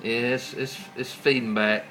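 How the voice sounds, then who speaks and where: A man's voice: medium pitch, little pitch movement, a little slow. Folksy American man on noisy CB radio. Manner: folksy, colloquial. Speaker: CB radio operator. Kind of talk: casual CB radio chat with radio slang. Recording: clearly noisy CB radio setup.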